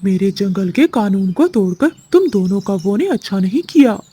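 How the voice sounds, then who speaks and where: A woman's voice: mid-range voice, very animated, normal speed, dark tone, husky. A woman voicing a stern, authoritative pigeon who gives commands in a moral-story cartoon. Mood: stern, outraged. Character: stern pigeon, guardian of forest law and fruit.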